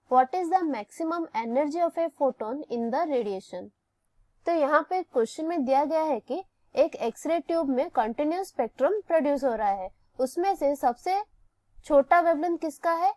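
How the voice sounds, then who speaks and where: A woman's voice: high voice, darkish tone, no room sound. A woman with an Indian accent teaching physics step by step, methodically posing questions and then answering them. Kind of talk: step-by-step lesson, explaining a worked problem.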